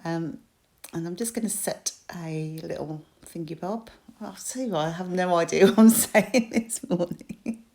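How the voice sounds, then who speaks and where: British woman, forties, low croaky voice, chatty and self-deprecating, crafting voice-over. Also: measured pace, a little mellow. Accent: British English. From United Kingdom. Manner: chatty, self-deprecating, with frequent ums and small laughs. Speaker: crafter and shop designer.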